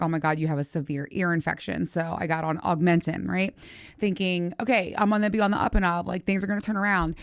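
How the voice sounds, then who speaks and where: A woman with a slightly low voice talks like a podcast host catching up with friends. Her delivery is chatty and candid, acting out little reactions as she tells stories. The sound is close and dry. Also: no echo. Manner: animated storytelling, acting out quoted reactions. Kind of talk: casual chatty solo podcast talk.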